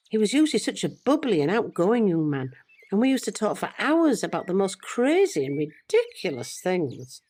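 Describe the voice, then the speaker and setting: An older woman playing a loving, regretful British grandmother in an audio drama, confiding her story in a reflective, measured way. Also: mid-range voice, mellow.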